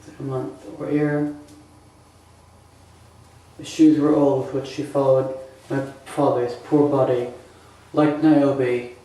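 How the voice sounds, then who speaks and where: A man acting a grieving, bitter young prince in a theatrical Shakespearean monologue, with halting, emphatic delivery and dramatic pauses. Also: mid-range voice, level tone, warm tone, soft background music. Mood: bitter grief. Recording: echoey room, distant microphone.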